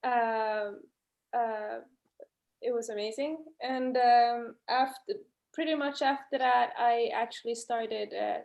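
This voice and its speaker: Slow hesitant woman from Sweden. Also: fairly high pitch, gravelly, darkish tone. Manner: hesitant, many ums. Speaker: guest. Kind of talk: casual self-introduction.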